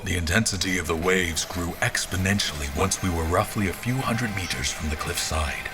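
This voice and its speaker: A man's voice: sits low, moderate pace, lightly acted. An actor playing a formal male officer who recounts his memory in scripted, literary dramatic narration. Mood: grave, reflective.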